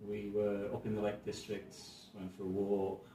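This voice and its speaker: Middle-aged scouse guy, lowish voice, chatty and meandering, roomy echo, mic a bit far off. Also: moderate pace. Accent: Liverpool. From Merseyside, England. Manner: relaxed, rambling, thoughtful. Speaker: podcast guest, arts director. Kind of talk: casual conversational storytelling. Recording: echoey room, mic at a distance, faint noise.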